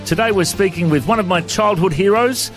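A man's voice: sits high, a little fast, music in the background. A man in his forties with an Australian accent, hosting a conversational podcast interview. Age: 40s. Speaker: radio interview host.

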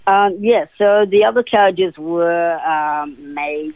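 A man's voice: fairly high pitch, little pitch movement, slow pace, no room sound. A young man in his twenties with a New Zealand accent, a guest answering interview questions hesitantly with many fillers and restarts. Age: 20s. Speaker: guest actor. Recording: tinny, dry phone line.

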